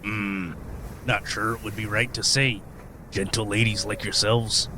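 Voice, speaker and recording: Guy acting old retired sailor in a radio play, deepish voice, rustic folksy storyteller, grim, bg noise pretty clear. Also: level tone, unhurried, bright, forceful tone. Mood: gruff, deferential. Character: weathered old ex-sailor, hesitant teller of grim tales.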